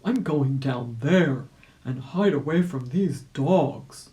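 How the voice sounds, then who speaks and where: A woman voicing a polite, determined old gentleman rabbit in a children's storybook, speaking earnestly. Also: rumbling low, expressive, slow, exaggerated voice. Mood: relieved. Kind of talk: children's storybook read-aloud.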